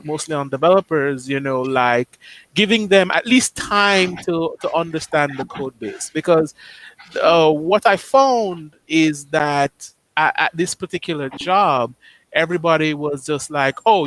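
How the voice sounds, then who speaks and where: A man's voice: fairly high pitch, a bit bright, no room sound, rough recording. Hesitant man on a dry video call. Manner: hesitant, many fillers and restarts. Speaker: front-end developer, guest. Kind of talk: casual video-call discussion.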